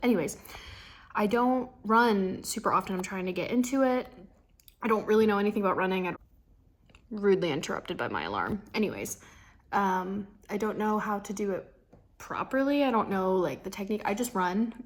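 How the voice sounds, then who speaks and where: A woman's voice: mid-range voice, expressive, brisk. Casting: young female vlogger, mid-range voice, informal and confiding, loosely rambling through personal updates. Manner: rambling, confiding, self-talk with trailing thoughts. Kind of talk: casual personal vlog, talking to camera.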